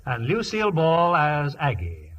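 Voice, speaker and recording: Animated American radio announcer over loud music. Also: male voice, fairly high pitch, unhurried, muffled recording. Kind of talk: 1950s radio scripted narration.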